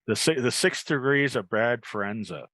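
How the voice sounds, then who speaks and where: A man's voice: mid-range voice, expressive, moderate pace, sharp tone, dry recording. An American man hosting a podcast, asking questions and chatting casually with a guest. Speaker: podcast host. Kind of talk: casual interview chat.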